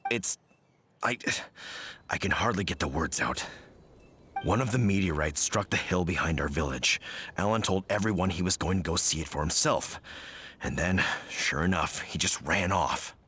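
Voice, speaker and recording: A man playing a gruff but decent village fellow in a video game, folksy and forceful. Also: low-pitched, moderate pace, slight background noise. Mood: worried.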